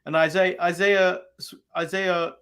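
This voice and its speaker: Guy co-hosting a wrestling recap, chuckles constantly, dry jokes, slightly high voice. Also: level tone, a little slow, fairly bright tone, clean tone. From England. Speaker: podcast co-host. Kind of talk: casual wrestling recap chat.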